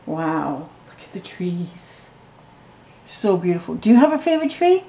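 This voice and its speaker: Middle-aged woman storytime reader, slow and mellow, reading a picture book at home, roomy echo. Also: fairly low pitch. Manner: slow, gentle reading with excited asides to the viewer. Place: living room at home.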